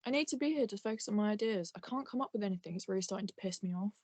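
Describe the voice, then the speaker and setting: A woman's voice: medium pitch, lively intonation, racing, a little mellow, no echo, voice pushed down, close to an everyday voice. A woman doing a sulky, frustrated student. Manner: sulky, muttering. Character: frustrated student stuck for ideas.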